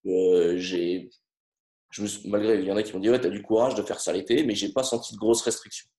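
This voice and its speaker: Thirty-something guy, lowish voice, lots of uh's, echoey room. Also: fairly even intonation, fairly quick, mellow. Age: 30s. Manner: hesitant, many fillers. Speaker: coaching client. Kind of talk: casual interview testimony. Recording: roomy echo.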